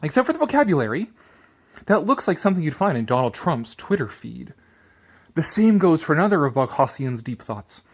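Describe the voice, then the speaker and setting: Guy with a slightly high voice doing a dramatic article reading, snarky rambling comments. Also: very animated, a little fast. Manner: sardonic, theatrical when reading, rambling asides.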